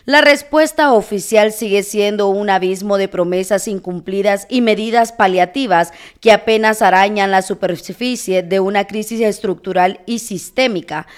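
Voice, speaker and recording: A woman reading a formal opinion piece on a radio news show in a measured way. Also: mid-range voice, clean tone. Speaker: news reader.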